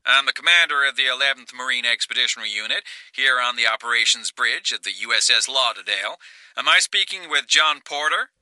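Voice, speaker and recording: A man's voice: medium pitch, fairly quick, pushed and bright, dry recording, voice pushed up, rough recording. A man voicing a stern, humorless American Marine colonel with a strong, twangy Texan accent in an audiobook thriller. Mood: stern, official.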